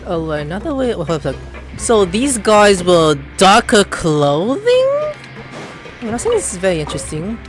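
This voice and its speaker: A woman comments casually on a game as she plays. Her mid-pitched voice swings up and down with playful exclamations. Faint game music sits behind her. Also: very animated, normal speed. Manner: playful, exclamatory, thinking aloud. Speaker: gaming video host.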